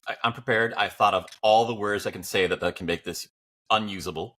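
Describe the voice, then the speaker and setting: A man's voice: on the low side, fairly quick, sharp tone. An American man bantering casually as a co-host, playful and wry, swearing freely. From United States. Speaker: podcast co-host.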